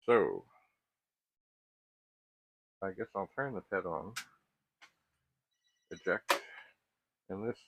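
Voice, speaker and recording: Middle-aged guy, raspy and creaky, kinda muttering through a tech demo, halting, tinny phone-like sound. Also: sits low, slight background noise. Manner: musing aloud, halting, self-questioning. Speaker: host of a vintage computer repair channel. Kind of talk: casual unscripted hands-on tech commentary. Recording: muffled, phone-like narrow band.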